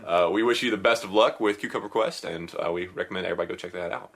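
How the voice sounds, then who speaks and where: A man's voice: deep voice, steady tone, rapid-fire, gravelly, a bit bright. A man hosting a podcast, chatting in an upbeat, joking, rambling way about a web comic. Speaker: podcast host. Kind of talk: casual podcast chat.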